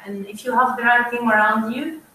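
A woman's voice: fairly high pitch, steady tone, brisk, pushed and bright, a little hiss or hum. A woman speaking at a panel discussion about working with artists, rambling and often restarting her sentences. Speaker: panel speaker, music manager. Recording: very echoey distant live feed with faint ambience.

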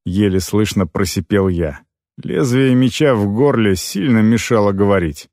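A man reading a fantasy novel in the first person as an audiobook narrator, with an expressive, dramatic storytelling delivery. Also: on the low side, moderate pace, no echo, clean studio sound.

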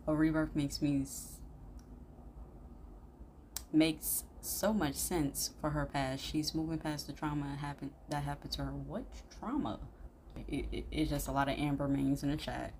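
A woman's voice: deep voice, flat delivery, moderate pace, darkish tone, slight background noise. A young adult woman giving casual, slangy, opinionated reaction commentary, prone to exclaiming. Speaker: YouTube reaction host.

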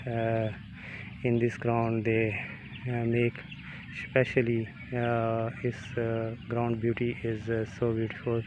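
A man with a Pakistani accent talking to viewers in a halting, hesitant way, in short broken phrases, as he vlogs outdoors on a walk. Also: medium pitch. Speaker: vlogger. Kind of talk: casual walk-and-talk monologue to viewers. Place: outdoors, walking on university grounds. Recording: muffled outdoor audio with clear noise.

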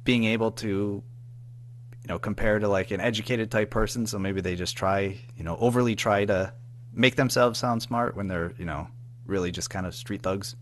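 A man talks as a guest in a casual interview. His voice is fairly low, and his delivery is informal and halting, full of filler phrases. There is a faint hum in the recording. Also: fairly even intonation. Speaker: podcast guest, audio drama writer-producer.

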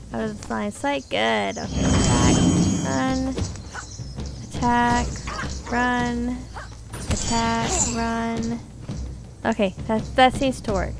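Woman, gameplay commentator, chatty, thinking aloud, gravelly fry in the voice, music in the background. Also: fairly high pitch. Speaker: video game player and commentator.

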